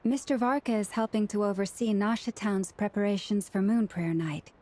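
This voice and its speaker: A woman's voice: medium pitch, dark tone, slight background noise, close to an everyday voice. Woman playing a composed, courteous young woman. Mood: informative. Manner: formal, measured, polite. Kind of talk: animated game dialogue.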